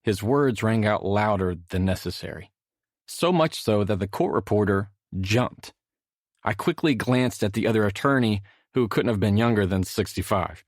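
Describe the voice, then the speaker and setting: A middle-aged man reading his own nonfiction audiobook as a measured, expressive storyteller. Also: on the low side, no echo, clean studio sound. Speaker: author narrating own audiobook. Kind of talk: scripted audiobook narration, storytelling.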